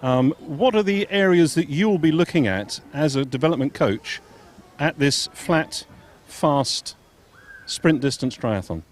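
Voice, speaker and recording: A man commentating live on a junior triathlon race and interviewing a coach, in a measured, informative way with occasional fillers. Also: fairly high pitch, animated, slight background noise. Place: outdoors at a triathlon venue.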